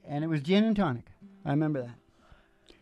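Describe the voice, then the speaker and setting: A middle-aged man hosting a music show, chatting casually and telling rambling, animated stories. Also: fairly high pitch, normal speed, dull audio. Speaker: singer and music show host. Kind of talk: casual chat and storytelling between songs. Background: acoustic guitar.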